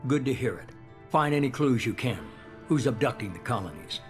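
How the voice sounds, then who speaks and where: An actor playing a composed, secretive spymaster who speaks in a measured way. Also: male voice, mid-range voice, expressive, music in the background, close to an everyday voice.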